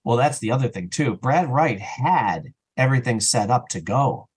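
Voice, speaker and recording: A man chatting casually and enthusiastically as an interview guest, rambling and animated, sometimes acting out bits of dialogue. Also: medium pitch, normal speed, breathy edge. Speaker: interview guest, audio drama writer and actor.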